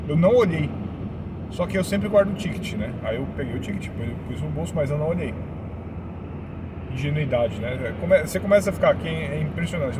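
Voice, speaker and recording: Brief: chatty Brazilian man vlogging, mid-high voice, mic at a distance. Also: heavy background noise. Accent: Brazilian Portuguese. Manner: chatty, keeps checking in with "tá?". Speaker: vlogger living abroad. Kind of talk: casual storytelling to viewers.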